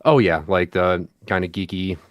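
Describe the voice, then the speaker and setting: Low-voiced guy on a video call, laid back and modest, dead dry audio. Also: normal speed, no room sound. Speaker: co-creator and voice actor. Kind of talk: casual chat on a group call.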